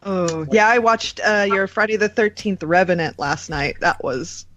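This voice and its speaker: A woman's voice: medium pitch, a little slow, a bit bright, lo-fi sound. A woman in her forties from Arizona with an American accent, casually interviewing as a radio show host. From Arizona, United States. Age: 40s.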